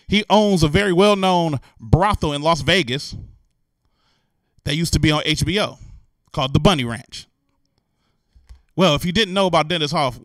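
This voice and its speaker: Male comic news host: bright, punchy, a bit high, slangy and animated, dry sound. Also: no echo. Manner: animated, incredulous, joking, slangy delivery. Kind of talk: comic commentary on news stories.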